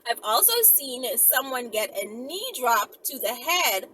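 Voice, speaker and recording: A woman's voice: high voice, big pitch swings, a little slow, sharp tone, low-quality recording. A woman host talking casually to her viewers, sassy and digressive, interjecting comments as she reads out messages. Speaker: host of her own video channel.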